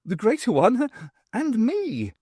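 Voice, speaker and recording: Audiobook alien, ancient male rebel poet, last survivor, high lilting delivery, rambling and wistful, performed by a man. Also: huge pitch swings, slow pace, dark tone, husky, dry recording, clean studio sound, heavily acted. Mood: eager reminiscing.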